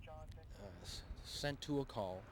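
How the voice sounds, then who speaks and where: A man's voice: mid-range voice, expressive, slow, background noise. A man, a patrol officer, speaking in an interview, hesitant with many fillers.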